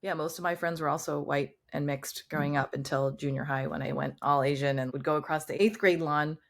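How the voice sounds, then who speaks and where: A woman's voice: low voice, steady tone, a little fast, vocal fry. A woman from Southern California hosting an interview, reading a short intro and then chatting with many "um"s and "like"s. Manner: friendly, filler-heavy with "um" and "like". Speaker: podcast host.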